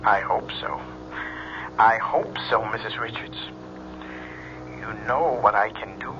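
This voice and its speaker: An actor playing a menacing extortionist in a radio drama, speaking with slow, insinuating repetition. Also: male voice, fairly low pitch. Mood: soft threat. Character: menacing fortune teller extorting money, coldly insinuating. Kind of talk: 1970s radio drama acting. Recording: muffled, noisy old radio broadcast.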